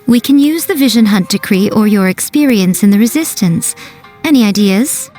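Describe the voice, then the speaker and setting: A sly fox-spirit priestess voiced by a woman speaks at a middle pitch. She sounds smooth and unhurried, with a playful, teasing edge in how she addresses others. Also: animated, warm tone, soft background music, lightly acted. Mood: coaxing.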